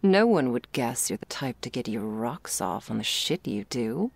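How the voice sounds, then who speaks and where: A woman playing a confident, teasing but vulnerable girlfriend in an intimate ASMR romance roleplay, confiding tenderly and haltingly, often dropping into breathy whispers. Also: very deep voice, big pitch swings, no echo, full character voice. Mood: earnest, vulnerable.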